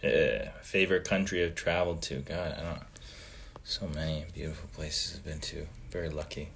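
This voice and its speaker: A man from Canada whose first language is Italian, hosting a casual livestream, reading fan comments aloud in a relaxed way with many ums and trailing pauses. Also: extremely low pitch, gravelly, slight background noise. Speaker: livestream host.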